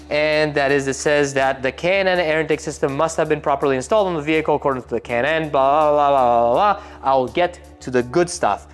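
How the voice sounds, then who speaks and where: A man hosting a technical car build video, explaining parts in a casual way with jokey asides, in a workshop. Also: a little high. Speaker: car tuning shop host.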